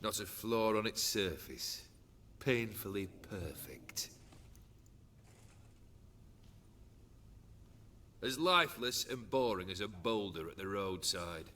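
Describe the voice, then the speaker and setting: A man's voice: lowish voice, lively intonation, unhurried, a little hiss or hum. A man playing a lordly, world-weary chieftain of a rowdy band in a fantasy video game, speaking with haughty eloquence. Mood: bored disdain. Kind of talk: video game cutscene acting. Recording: loud crowd chatter behind.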